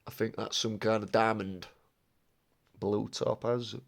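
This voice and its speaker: A rambling, excitable man talks through a card unboxing in a fairly low voice. He sounds slightly stuffed up from a cold and chats casually, drifting off topic now and then. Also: measured pace, vocal fry. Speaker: card-opening vlogger.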